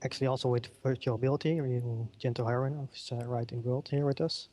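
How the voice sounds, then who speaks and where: A man's voice: mid-range voice, fairly even intonation, fast, mellow. A middle-aged man from the Netherlands with a Dutch accent, giving a conference keynote with many ums and uhs. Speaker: keynote speaker. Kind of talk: conference keynote in a virtual world.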